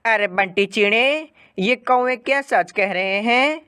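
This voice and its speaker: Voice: mid-range voice, takes it slowly, pushed and bright, smooth, no echo, clean studio sound. A narrator voicing an angry, distrustful sparrow wife in a cartoon moral story. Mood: doubtful.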